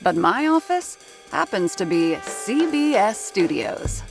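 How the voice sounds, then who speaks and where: An actress playing a weary, wisecracking sound effects artist who narrates with dry humor in an audio drama. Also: female voice, mid-range voice, sing-song, slow, faint music behind. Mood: wry.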